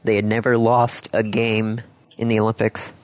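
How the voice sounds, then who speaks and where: A man's voice: lowish voice, completely flat delivery, moderate pace, no echo. A man with a Midwestern accent co-hosting a podcast, telling rambling, laid-back stories in casual chat. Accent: American Midwest. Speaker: podcast co-host.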